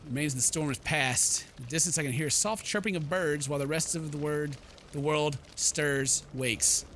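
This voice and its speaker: Bright-voiced man narrating a video game playthrough. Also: on the high side, moderate pace, a little hiss or hum. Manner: dramatic storybook reading broken by jokey asides. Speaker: gaming video host. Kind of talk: let's play, reading game text aloud with casual reactions. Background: game music.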